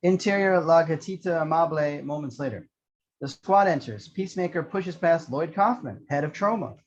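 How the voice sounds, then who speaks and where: A man's voice: on the high side, normal speed. A man reading out screenplay action descriptions as the narrator of a table read. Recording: slightly dull lo-fi microphone.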